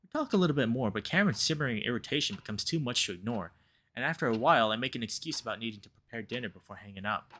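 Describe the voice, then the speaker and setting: Animated man reading a visual novel. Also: mid-range voice, very fast. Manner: animated, reacts aloud to the story. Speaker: let's play host, reader.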